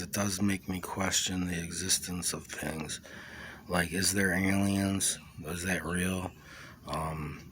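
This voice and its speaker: A man with a low voice talks through his personal experiences in an informal monologue. His delivery is halting and reflective, circling back and repeating words, and stays within a narrow pitch range. There is faint noise behind him. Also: a bit bright. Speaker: vlogger sharing a personal testimony.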